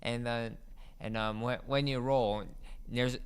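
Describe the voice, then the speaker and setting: Halting man with a smooth voice. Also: medium pitch, fairly even intonation. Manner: hesitant, halting, frequent restarts and ums. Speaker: podcast co-host. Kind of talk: casual gaming chat.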